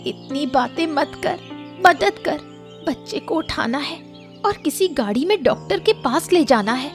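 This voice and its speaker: A woman's voice: high voice, expressive, unhurried, soft background music. A woman voicing a caring but scolding elder sister who runs a hotel, in an urgent, emphatic cartoon story style. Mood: commanding. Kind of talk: Hindi cartoon moral story acting.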